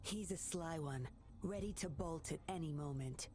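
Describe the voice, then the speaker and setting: A woman playing a sly, composed undercover agent in a video game, teasing, unhurried and quietly commanding. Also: sits low, soft background music, close to an everyday voice. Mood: businesslike. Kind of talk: video game character acting.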